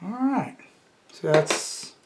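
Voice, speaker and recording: A man's voice: sits high, drawn-out words, background noise. A man in his fifties restoring an antique radio part in his home workshop, talking to himself as he works. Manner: relaxed, talks to himself while working, cheers at small wins. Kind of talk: hands-on workshop commentary.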